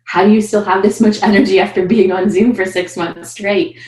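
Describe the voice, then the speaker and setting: A woman in her thirties, a coaching client, talking in an animated, upbeat way over a video call from a room at home. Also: mid-range voice, normal speed, reverberant, rough recording. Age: 30s. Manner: animated, upbeat, says she is loud. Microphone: video call webcam.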